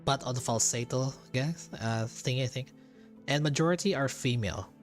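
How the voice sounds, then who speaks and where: A man's voice: mid-range voice, normal speed, soft background music. Chatty Filipino-accented man streaming a game. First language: Tagalog. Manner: joking, chatty, hesitant. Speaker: streamer playing and reacting to a game. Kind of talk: casual game commentary.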